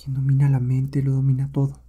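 A man voicing a calm, self-assured noble adventure hero who speaks in a measured way. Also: medium pitch, breathy edge, natural voice. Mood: quiet resolve.

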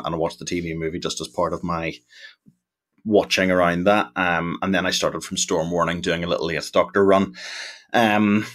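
Deep-voiced male podcast co-host. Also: fairly bright tone. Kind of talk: casual chat.

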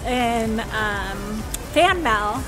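A woman's voice: a little high, expressive, extremely slow. An American woman in her thirties chatting casually and expressively to a handheld camera, as in a family vlog. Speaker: family vlogger. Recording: music playing behind the voice.

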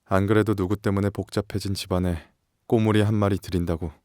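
An actor playing a formal, wryly resigned older-brother canine shapeshifter in an audio drama, speaking in a measured way. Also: male voice, low-pitched, steady tone, darkish tone, no echo, clean studio sound, close to an everyday voice. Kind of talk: scripted Korean audio drama acting.

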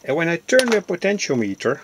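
A man giving an informal hobbyist demonstration, narrating in halting, deliberate phrases. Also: on the high side, sing-song, a little hiss or hum. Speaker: electronics hobbyist presenter.

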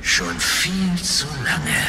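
An actor playing a pompous, villainous space pirate baron in a sci-fi audio drama, gloating with drawn-out, theatrical phrasing. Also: male voice, high voice, wildly swooping pitch, vocal fry, heavy background noise, exaggerated voice. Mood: scheming.

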